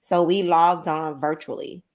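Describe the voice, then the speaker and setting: A woman's voice: sits low, steady tone, a little slow, a little mellow. A woman co-hosting a talk show, chatting casually and animatedly about her day. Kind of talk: casual chat.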